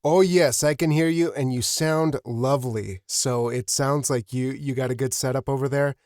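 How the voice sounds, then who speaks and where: Male podcast host, medium pitch, dry close recording, appreciative and effusive, feeling his way through phrases. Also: steady tone, moderate pace, a little mellow, no room sound. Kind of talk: casual interview hosting.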